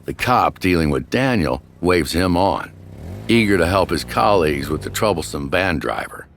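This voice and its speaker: A man with an extremely low voice narrates scripted true crime. His delivery is dramatic and suspenseful, swinging expressively up and down with a gravelly creak. He sounds like the storyteller of a crime documentary. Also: lively intonation, normal speed. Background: background music.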